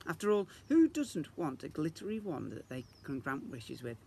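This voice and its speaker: A man reading a children's story aloud in the expressive style of a teacher reading to young children. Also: fairly high pitch, moderate pace, gravelly, husky.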